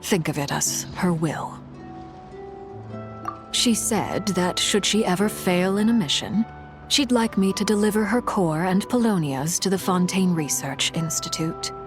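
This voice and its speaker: An actress playing a composed, formal noblewoman in a video game, speaking in a measured, restrained and solemn way. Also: lowish voice, expressive. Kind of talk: dramatic video game dialogue. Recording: prominent music behind the voice.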